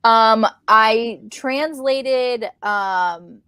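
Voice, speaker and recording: A woman's voice: a little high, drawn-out words, pushed and bright, clear, resonant tone, dry recording. An American woman co-hosting a casual book chat, explaining conversationally with quoted asides. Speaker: book readalong co-host.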